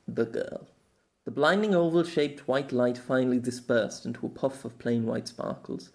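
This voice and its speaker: A man narrating a story as an amateur audiobook reader, theatrical, wry and expressive. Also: medium pitch, creaky.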